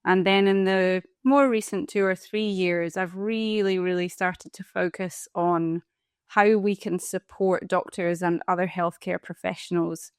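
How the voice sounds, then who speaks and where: A Scottish woman in her forties speaks in a thoughtful, earnest way. Her voice sits low for a woman and sounds smooth and resonant. She is recorded close and dry, with no room sound. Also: dry recording. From Scotland. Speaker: guest, palliative care doctor and coach. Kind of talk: interview conversation.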